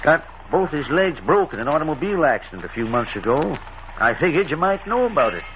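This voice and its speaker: A man's voice: fairly high pitch, very animated, fast. An actor playing a folksy, gossipy old boatman with a rural American drawl in an old-time radio drama. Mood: folksy, matter-of-fact. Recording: muffled, noisy old radio recording.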